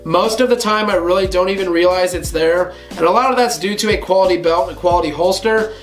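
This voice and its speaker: A man hosting a casual gun review vlog, slightly hoarse from a cold, talking to viewers in a relaxed conversational way. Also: high-pitched, quick pace, echoey room, faint music behind. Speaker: gun channel host.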